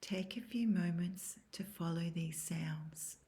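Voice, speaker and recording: A woman with a low, mellow voice leads a guided meditation. She speaks very softly and breathily, close to a whisper. Her delivery is calm, soothing and unhurried, with long, measured instructions. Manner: soft, breathy, hushed, near-whispered delivery. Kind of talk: guided yoga nidra meditation, scripted.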